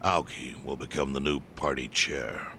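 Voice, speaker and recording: An actor playing a calm, knowing male informant who explains things in a measured way. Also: a bass voice, gravelly, a little hiss or hum, full character voice. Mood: grave.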